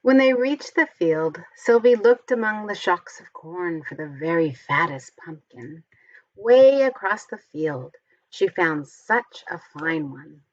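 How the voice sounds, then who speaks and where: A woman reading a storybook aloud to young children, expressively and with deliberate, careful enunciation. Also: on the low side, lively intonation, dry recording, muffled recording. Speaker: storybook reader.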